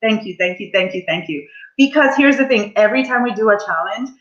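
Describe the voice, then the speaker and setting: A woman's voice: medium pitch, lively intonation, brisk, dull audio. Upbeat woman coach in her forties. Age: 40s. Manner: upbeat, encouraging, addresses the audience directly. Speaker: money mindset coach. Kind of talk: live online coaching session.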